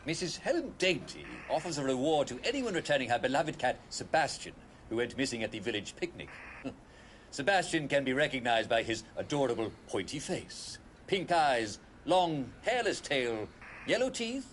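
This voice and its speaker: An actor playing a chirpy British broadcast host in a retro announcer style, with forced cheer, chuckles and theatrical hesitations. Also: male voice, mid-range voice, sing-song, normal speed, fairly bright tone, a little hiss or hum. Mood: giddy, cheerful. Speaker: broadcast presenter.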